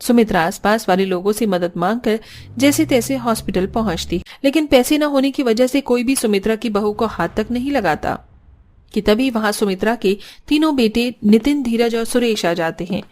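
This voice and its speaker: A woman's voice: a little high, normal speed, a little mellow. A woman giving scripted narration for a cartoon story.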